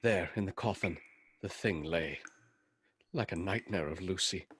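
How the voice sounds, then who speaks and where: An actor playing an earnest, haunted doctor who narrates gothic horror in a measured, dramatic radio-play style. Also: male voice, sits low, noisy background. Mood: dread. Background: music.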